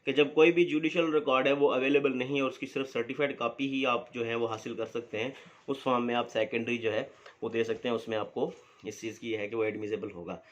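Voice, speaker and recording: A man teaching a law lesson at a mid-range pitch, explaining patiently and padding his sentences with fillers. He slows down carefully whenever he reads legal wording aloud. The recording sounds dull and lo-fi. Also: steady tone. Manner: explains slowly with many fillers, reads statute text aloud deliberately. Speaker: law lecturer and advocate.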